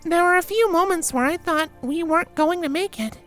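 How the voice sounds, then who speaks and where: A man voicing a young female alicorn pony in a story reading, gentle, hesitant and a little embarrassed. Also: extremely high pitch, fairly quick, faint music behind, voice pushed up. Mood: gentle, coaxing.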